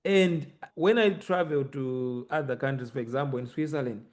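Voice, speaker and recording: A man's voice: mid-range voice, moderate pace, a little mellow. A man with a Zimbabwean accent hosting a conversational podcast discussion about everyday matters. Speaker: host.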